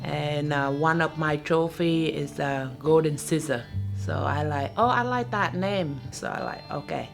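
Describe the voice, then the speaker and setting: A middle-aged woman who speaks English as a second language, talking earnestly in a halting way in a documentary interview. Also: deep voice, creaky, faint music behind. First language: not English. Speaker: interviewee, salon owner.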